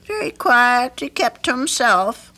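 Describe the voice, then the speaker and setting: An older woman playing a kindly, lonely elderly widowed landlady in old-time radio drama, gentle, fussing and motherly. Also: a little high, very animated, unhurried, full character voice. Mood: concerned, motherly. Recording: archival radio drama with music.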